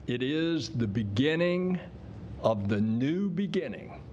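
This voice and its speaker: A man's voice: mid-range voice, animated, takes it slowly, a little mellow, lo-fi sound, a little hiss or hum. A man with a mid-range voice speaking to the press. He talks in an emphatic, declarative way.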